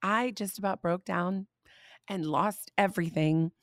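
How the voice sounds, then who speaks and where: A woman's voice: on the low side, measured pace, no echo, clean studio sound. Chatty woman with a slightly scratchy voice. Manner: chatty, warm-hearted, animated storytelling. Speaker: podcast host. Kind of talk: casual faith podcast chat.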